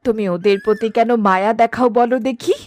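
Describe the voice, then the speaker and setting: A woman's voice: fairly high pitch, a little fast, darkish tone, natural voice. A woman playing a vain, cruel, imperious queen in a fairy tale cartoon. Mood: scheming, coaxing. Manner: imperious, commanding.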